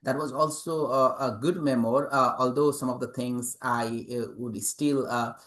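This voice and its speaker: A man's voice: medium pitch, steady tone, measured pace, fairly bright tone. Hesitant man with a Nepali accent. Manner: hesitant, many fillers and repeated words. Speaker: interviewed author and professor. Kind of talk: interview answers.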